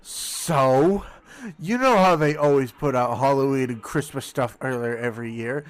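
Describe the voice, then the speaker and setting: A man's voice: mid-range voice, unhurried, clear, resonant tone, no room sound, close to an everyday voice. A man voicing a young male fox sidekick with a nonchalant, know-it-all delivery in an amateur story read. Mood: breezy, explaining. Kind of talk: amateur fan-fiction table read.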